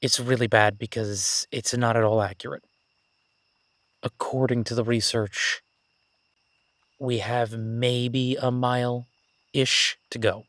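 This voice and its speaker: A man playing an excitable, nerdy young academic on his first jungle expedition in a roleplay audio drama, gushing, stammering and apologetic. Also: mid-range voice, slow pace, long pauses, dry recording. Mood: flustered, explanatory. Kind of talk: immersive roleplay audio drama, one-sided conversation.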